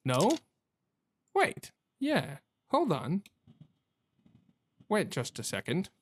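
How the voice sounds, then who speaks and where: A man's voice: sits high, huge pitch swings, extremely slow, breathy edge. A man giving casual let's play commentary on a video game, thinking aloud in a hesitant, self-questioning, rambling way.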